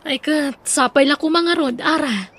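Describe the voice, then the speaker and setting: A woman's voice: high-pitched, normal speed, fairly bright tone. A woman playing an earnest, affectionate young sweetheart in a radio drama. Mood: pleading.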